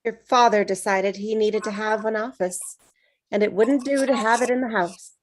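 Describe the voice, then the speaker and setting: A woman's voice: medium pitch, steady tone, normal speed, lightly acted. A practical older mother played by a woman, in a mid-range voice. She speaks naturalistically in a drama table read, with faint noise and clicks on the recording. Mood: matter-of-fact. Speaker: actor in a screenplay table read.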